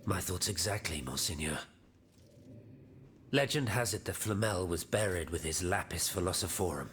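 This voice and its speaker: A learned, fatherly inventor in a game cutscene. His lowish voice is solemn and deliberate, over faint noise. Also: animated, fairly bright tone. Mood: foreboding. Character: grave, learned father-inventor, devout and troubled. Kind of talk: video game cutscene acting.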